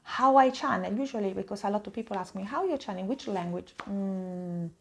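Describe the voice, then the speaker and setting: A woman's voice: mid-range voice, brisk, noisy background. A woman with an Italian accent, a native Italian speaker, giving an improvised tarot reading to viewers, searching for words and repeating phrases for emphasis. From Italy. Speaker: tarot reader, host.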